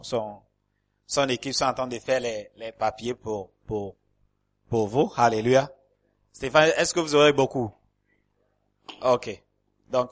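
A male pastor preaches in a church hall, with a voice of ordinary middle pitch for a man. He speaks French as a second language and stumbles through fillers and repeated words. Calls of alleluia punctuate nearly every phrase as he rallies his congregation. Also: fairly bright tone. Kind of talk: sermon.

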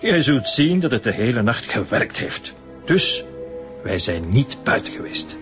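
Smug Flemish landowner, mid-pitched. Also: male voice, big pitch swings, measured pace, over music. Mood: smug, defensive. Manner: condescending, chuckling. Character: smug, mocking well-off male landowner, falsely jovial. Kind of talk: radio crime drama acting.

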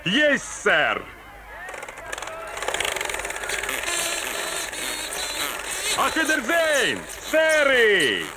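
An actor playing a cheeky, simple Russian fairground huckster in a theatrical folk-tale audio play, with rhyming barker's patter. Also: male voice, very high-pitched, wildly swooping pitch, takes it slowly, heavy background noise, heavily acted. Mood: easygoing, cocky.

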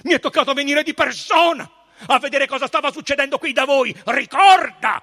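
A man's voice: extremely high pitch, brisk, bright, forceful tone, voice pushed up, full character voice. A man voicing a haughty, menacing Roman procurator in a storybook, imperious and emphatic in manner. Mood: furious.

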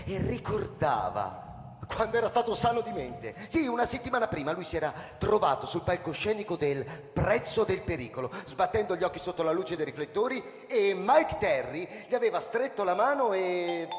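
A man narrating a scripted story in a theatrical, suspenseful and strongly expressive way. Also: high voice, moderate pace. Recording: echoey hall reverb, crowd noise behind.

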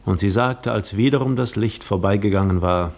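An actor playing a brooding male wanderer in a 1950s German radio drama, gravely recounting a painful farewell in measured first person. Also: fairly low pitch, darkish tone. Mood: pleading, intent.

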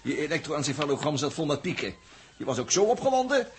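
An actor playing a wry, teasing and cheerfully bossy spaceship crewman in a 1960s radio drama, with a husky, rough voice. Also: fairly high pitch, sing-song, fast, a little hiss or hum.

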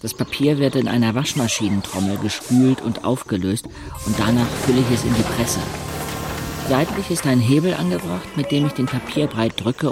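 An actor playing a wry young man who narrates his village life in an audio drama, dry and observant, with a gravelly voice. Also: medium pitch, natural voice. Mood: dry, sardonic.

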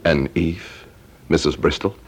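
An actor playing a serious, probing investigator in a Dutch radio crime drama. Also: male voice, very deep voice, slow, a little hiss or hum. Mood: pressing.

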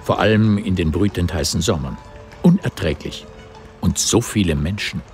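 A man's voice: deep voice, animated, normal speed, darkish tone, soft background music. A man narrating a literary radio drama in scripted, present-tense prose.

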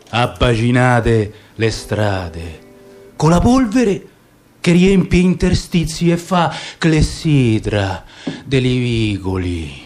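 Slow, lyrical man. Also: mid-range voice, a little mellow. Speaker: radio theatre performer. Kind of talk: dramatic poetic monologue.

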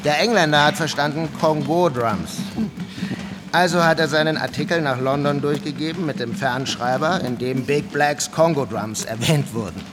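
A man's voice: on the high side, moderate pace, noisy background, close to an everyday voice. An actor playing a coarse, sardonic male storyteller spinning an anecdote for fellow reporters in a radio drama.

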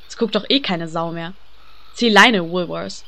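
A man's voice: high voice, a little slow, creaky, pushed and bright, voice pushed up, exaggerated voice. A man voicing a haughty, bossy diva actress who snaps orders in a comedy audio drama.

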